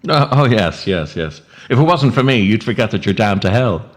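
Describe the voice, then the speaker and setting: Guy doing a naggy guilt trippy inner conscience for a comedy bit, stammery, lowish voice, really up and down. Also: animated, normal speed, lightly acted. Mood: needling. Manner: hesitant, stammering, needling. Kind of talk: comedic two-handed sketch dialogue.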